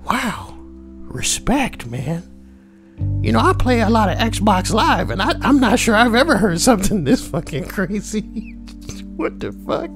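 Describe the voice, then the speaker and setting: A woman reading forum posts aloud and adding crude, sweary, joking commentary. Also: on the high side. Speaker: online narrator and commentator.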